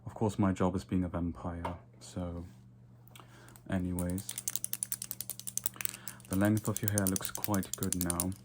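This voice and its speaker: A man playing a casual, fussy, slightly snobbish vampire barber in an ASMR roleplay, murmuring softly and drifting into whispers. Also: low voice, flat delivery, slow, pauses often, noisy background. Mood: mildly snobbish. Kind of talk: ASMR roleplay, soft close personal attention.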